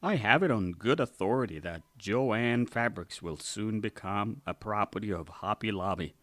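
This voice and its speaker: Man playing a smug, low-voiced pompous zealot. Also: expressive, moderate pace, no room sound, natural voice. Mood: scheming. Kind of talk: satirical radio drama acting.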